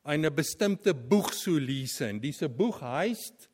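A man's voice: on the high side, animated, darkish tone. Earnest Afrikaans-speaking male preacher. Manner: earnest, emphatic, with slow stressed phrases and non-native grammar slips. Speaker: preacher, former theology teacher. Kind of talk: sermon.

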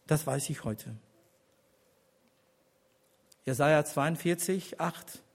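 An older man with a slightly husky, somewhat high voice gives a personal testimony from the pulpit. He speaks deliberately, pausing between phrases, then lifts into emphatic appeals to his listeners. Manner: earnest, deliberate with pauses, rising to emphatic appeals. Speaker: church elder giving a testimony. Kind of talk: sermon testimony.

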